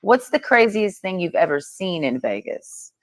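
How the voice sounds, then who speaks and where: A man chatting casually and teasingly in an animated, insistent way, often repeating his phrases. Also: high voice, measured pace, no echo. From Georgia, United States.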